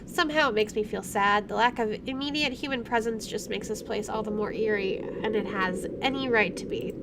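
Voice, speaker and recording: A woman's voice: mid-range voice, a little fast, very noisy. A woman streamer reading visual novel text aloud and breaking in with casual, playful asides. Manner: chatty, self-interrupting, playful reactions. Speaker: video game streamer doing a let's play.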